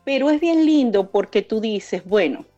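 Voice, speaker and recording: A woman's voice: a little high, animated, normal speed, warm tone, no echo, muffled recording, soft background music. A mature Venezuelan woman in her fifties or older, telling her story emphatically as a guest in a conversational interview, often repeating words to build a point. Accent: Venezuelan Spanish. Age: 50s or older. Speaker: interview guest, founder of a women's leadership project.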